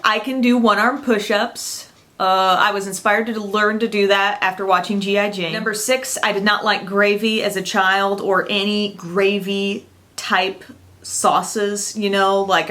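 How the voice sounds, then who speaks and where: A woman's voice: medium pitch, a bit bright. Chatty woman vlogging. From Illinois, US. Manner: chatty, upbeat, with frequent fillers and small laughs. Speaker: beauty vlogger. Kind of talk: casual chat to camera.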